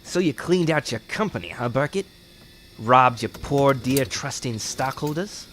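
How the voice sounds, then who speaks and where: An actor playing an insolent, crooked smuggler pilot with slangy, sneering speech in old radio crime drama style. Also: male voice, lively intonation, unhurried, a bit bright. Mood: taunting. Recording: faint vehicle rumble behind the voice.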